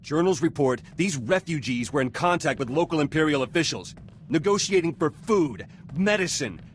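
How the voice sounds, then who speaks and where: Bitter male rebel soldier for a game, played by a man: clipped, intense, widely swinging pitch, faint ambience. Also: lively intonation, moderate pace, slight background noise, natural voice. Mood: bitter, betrayed. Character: bitter, vengeful male resistance fighter, fiercely loyal. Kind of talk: scripted video game voice acting.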